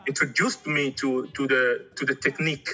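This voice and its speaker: A middle-aged man from Angola, raised in Congo, chatting casually as an artist guest in an interview, halting and often repeating words. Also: mid-range voice, steady tone, bright, forceful tone, breathy edge, lo-fi sound, soft background music.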